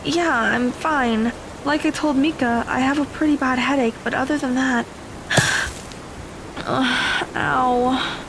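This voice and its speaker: A woman's voice: sits high, steady tone, slow, noisy background. A woman playing an earnest, tentative teenage girl heroine in an audio drama. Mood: pained.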